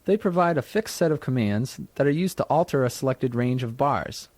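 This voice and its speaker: Male instructional narrator, medium pitch, measured and explanatory scripted tutorial read, dry sound. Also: animated, a little mellow, no echo. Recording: dry studio narration from a 1990s tutorial cassette.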